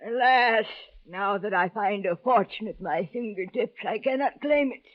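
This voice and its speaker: A woman's voice: medium pitch, moderate pace, close to an everyday voice. An actress playing a gleeful, greedy, wizened old woman desk clerk in an old-time radio drama, with florid, theatrical phrasing. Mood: sly, haggling. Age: older. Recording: dull, lo-fi old radio recording.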